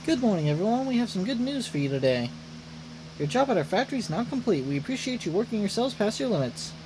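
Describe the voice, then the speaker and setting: A man voicing a formal factory announcer in a video game, polite, measured and quietly menacing. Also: high voice, sing-song, noisy background, pitched above the performer's own voice. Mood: falsely cheerful. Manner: measured, official.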